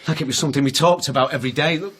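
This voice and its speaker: A man's voice: a little high, racing, a bit bright, husky. An actor in a naturalistic radio drama playing a hesitant, wounded man of thirty, apologetic and conciliatory. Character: hesitant, wounded thirty-year-old son seeking his father.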